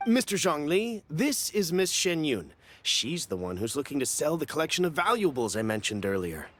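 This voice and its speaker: A jittery, fussy merchant. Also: male voice, on the high side, big pitch swings, normal speed, slight background noise. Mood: eager. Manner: wheedling, jittery and apologetic. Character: nervous, fussy male merchant buyer.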